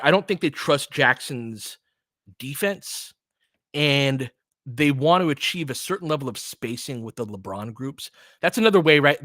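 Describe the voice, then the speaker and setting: Guy doing casual hoops commentary, analytical, kinda halting as he works it out, medium pitch, super dry mic. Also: a bit bright, no room sound. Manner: conversational, analytical, halting as he thinks aloud. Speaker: audio play-by-play host and basketball analyst. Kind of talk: casual off-the-cuff sports commentary, answering listeners.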